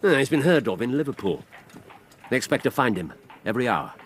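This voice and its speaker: Male actor as shrewd english gentleman detective, really swoopy expressive delivery, precise, radio play, bit of background noise. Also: medium pitch, brisk, lightly acted. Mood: coaxing. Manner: incisive, theatrical, precise. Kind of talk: radio drama acting.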